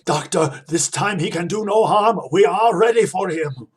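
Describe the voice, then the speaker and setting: An actor playing a grave, defiant vampire-hunting doctor with a husky voice and emphatic theatrical radio delivery. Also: male voice, high voice, moderate pace. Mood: grave, steady. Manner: emphatic, dramatic. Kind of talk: theatrical radio play acting.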